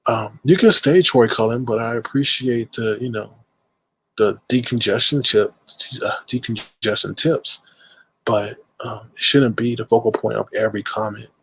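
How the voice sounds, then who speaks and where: A man's voice: mid-range voice, normal speed, husky, no room sound, rough recording. A man with a stuffed-up nose hosting a casual livestream, rambling and greeting viewers in chat mid-sentence. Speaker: livestream host.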